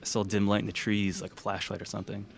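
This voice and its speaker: A man's voice: on the low side, monotone, rapid-fire, gravelly, slight background noise. A man playing an easygoing, plain-spoken young guy in a naturalistic audio drama, talking casually. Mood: confiding. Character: easygoing young man confiding past events.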